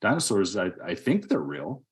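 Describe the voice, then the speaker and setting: A man in early middle age co-hosting a podcast, talking casually about films in a halting, rambling way. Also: low-pitched, huge pitch swings. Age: 30s or 40s. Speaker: podcast co-host. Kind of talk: casual film discussion.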